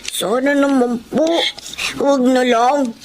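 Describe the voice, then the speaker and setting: A woman's voice: sits high, fairly even intonation, takes it slowly, darkish tone, a little hiss or hum. An actress voicing a sweet, polite little Filipino boy in a radio drama. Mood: pleading.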